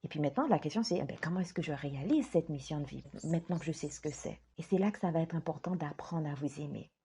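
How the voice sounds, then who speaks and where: A woman life coach speaking straight to her viewers in a gentle, earnest way, stressing her words slowly. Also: low voice, expressive, a little hiss or hum. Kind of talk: direct-to-camera talk.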